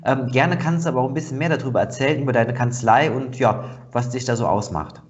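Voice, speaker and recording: A man's voice: medium pitch, fast talker, lo-fi sound. A man hosting a podcast, asking chatty conversational questions with fillers. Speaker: podcast host. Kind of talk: podcast interview.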